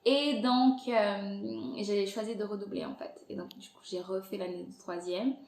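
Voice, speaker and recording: Podcast guest, woman in her thirties from Angola, Portuguese native speaker, animated and laughing, recorded in a reverberant room. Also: medium pitch, moderate pace, a little hiss or hum. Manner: lively, laughs often, many fillers. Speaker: podcast guest, content creator. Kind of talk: casual interview chat. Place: Montreal. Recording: echoey room.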